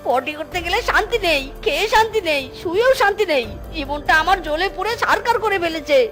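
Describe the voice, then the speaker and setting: A performer voicing an excitable, cheeky young male cartoon animal son-in-law in exaggerated, exclaiming dialogue. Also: very high-pitched, fast talker, soft background music, full character voice. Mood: furious, ranting.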